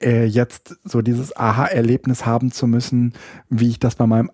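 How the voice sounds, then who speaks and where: A man's voice: mid-range voice, little pitch movement, normal speed, darkish tone, breathy edge, dry recording, clean studio sound. A man co-hosting a podcast in casual chat, hesitant and rambling, full of fillers and restarts. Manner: rambling, thinks aloud. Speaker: podcast co-host.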